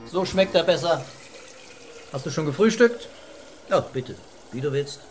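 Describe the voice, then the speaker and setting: A man playing a laconic, calm police commissioner with a dry, provocative manner in a crime radio drama. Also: on the high side, measured pace, a little hiss or hum. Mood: coolly provocative.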